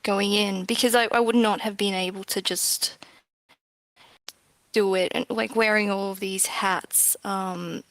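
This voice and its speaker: A woman's voice: mid-range voice, fairly even intonation, measured pace, fairly bright tone, dry recording. A woman speaking as a guest in a casual interview, a little hesitant, with phrases that trail off. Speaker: game developer, interview guest.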